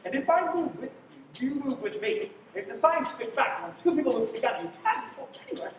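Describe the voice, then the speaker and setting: A woman's voice: sits high, lively intonation, fast talker. A young woman playing a wry man on the run in a live radio play, with dramatic delivery. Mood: smug. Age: young adult. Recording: echoey, noisy livestream, distant mic.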